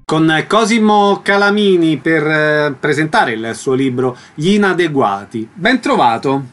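A man's voice: on the high side, animated, unhurried, fairly bright tone, faint music behind. A man hosting a book interview on the radio, introducing and questioning his guests. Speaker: radio host interviewing authors.

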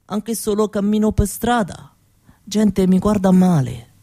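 An actress playing a weary, bitter Roma mother who begs, speaking halting Italian with a Roma accent in a theatrical monologue. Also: medium pitch, dark tone. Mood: bitter, indignant. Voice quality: broken, foreign-sounding Italian. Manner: slow, repetitive, halting.

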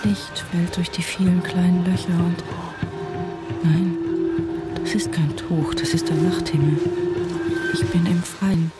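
A woman's voice: on the low side, flat delivery, unhurried, pauses often, loud music behind the voice, lightly acted. A woman playing a distraught, suspicious wife and mother in a German radio drama, narrating and speaking. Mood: tense, inward.

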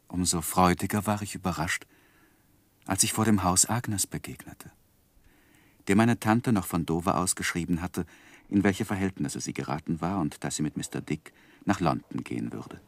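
Earnest, low-voiced young gentleman. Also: no room sound. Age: young adult. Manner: measured, literary, heartfelt. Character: earnest, lovestruck, sensitive young gentleman narrating his life. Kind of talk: 1960s German radio play acting and narration.

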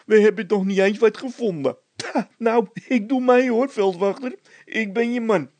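A man voicing a hearty, mocking and eager workman in a storybook, loud and jovial. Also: sits high, a little slow, no echo, voice pushed up.

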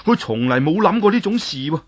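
Husky expressive male storyteller. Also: brisk. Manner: expressive, swinging pitch for drama. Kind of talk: traditional Cantonese storytelling.

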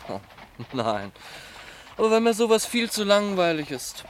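Radio play role: irreverent young gentleman student, easygoing, highish voice with swooping intonation. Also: big pitch swings, unhurried, slight background noise. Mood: chatty, candid. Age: young adult. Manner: chatty, irreverent, theatrical. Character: flippant, easygoing young aristocrat student, governor's son. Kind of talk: German radio drama acting.

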